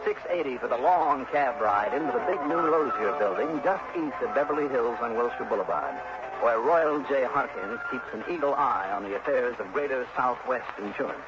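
Casting: male actor as wry, unflappable insurance detective, medium pitch, breezy radio-drama delivery, heavy vintage noise. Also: normal speed, close to an everyday voice. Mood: brisk narrating. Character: cool, wry male freelance insurance investigator. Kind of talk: early 1960s radio drama acting and narration. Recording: very noisy, muffled vintage radio recording.

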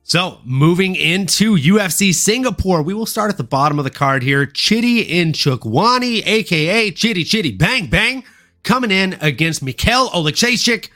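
Bright, punchy man hosting a podcast. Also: sits high, expressive, moderate pace. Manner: informal, slangy, showman-like intros. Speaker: podcast host. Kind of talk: upbeat sports podcast talk and fight recap.

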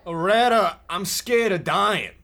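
Guy as scared kid, really high voice, halting stilted radio acting, noisy background. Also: sharp tone, heavily acted. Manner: halting, stilted script reading. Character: frightened, stammering child in radio horror parody. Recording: clear background noise.

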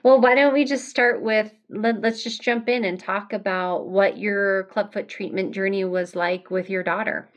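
A woman's voice: mid-range voice, fairly even intonation, normal speed, few pauses. A woman with an American accent, hosting a conversational podcast interview. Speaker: podcast host.

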